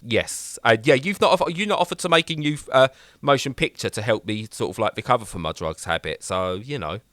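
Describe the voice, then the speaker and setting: An English man co-hosting a gaming podcast, bantering in an animated, joking way with lots of quick restarts. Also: mid-range voice, no room sound, studio quality. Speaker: podcast co-host. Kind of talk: casual banter.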